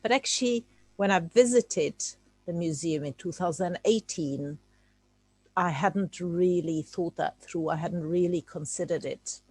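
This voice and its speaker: A woman's voice: fairly low pitch, a little slow, darkish tone, no echo. A woman from Czechoslovakia, a native Czech speaker, giving an informal talk over a video call, hesitant and full of fillers and restarts. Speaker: guest speaker.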